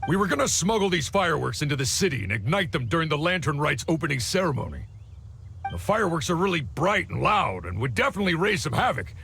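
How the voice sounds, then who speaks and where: An actor playing a cocky, crude bandit boss who talks in slang and swaggers, in a game cutscene. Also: male voice, on the high side, sing-song, fast talker, vocal fry, fairly bright tone, slight background noise, heavily acted. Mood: cornered. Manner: slangy, swaggering, stammers when caught.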